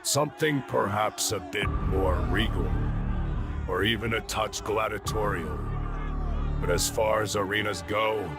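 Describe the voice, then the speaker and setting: Comic-book villain, crime boss, low-pitched, unhurried and measured, eloquent, gloating, theatrical, music behind. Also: male voice. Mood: smug. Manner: theatrical, grandiloquent, taunting. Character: imposing, gloating male crime lord, eloquent and contemptuous. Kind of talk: dramatic comic-book audio drama.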